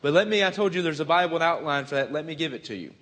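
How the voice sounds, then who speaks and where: A man's voice: fairly high pitch, animated, fast, fairly bright tone. A man in his twenties with a Southern US accent, a missionary preacher introducing himself before his sermon in a folksy way with many "uh" fillers. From Virginia, US. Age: 20s. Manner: folksy, frequent "uh" fillers, self-deprecating asides. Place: church sanctuary. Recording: long hall reverb.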